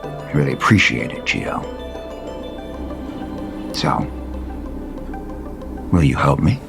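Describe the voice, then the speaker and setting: Deep-voiced, tender, hesitant developer. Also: male voice, lively intonation, takes it slowly, creaky, heavily acted. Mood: earnest, hopeful. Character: wistful wealthy male property developer, longing and apologetic. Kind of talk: audio soap opera acting. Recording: music bed under the dialogue.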